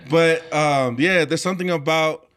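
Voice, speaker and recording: A man whose first language is Tongan, telling personal stories in a casual interview in a halting, reflective, conversational way. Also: on the high side, fairly bright tone, clear, resonant tone. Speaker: church choir leader and worship singer.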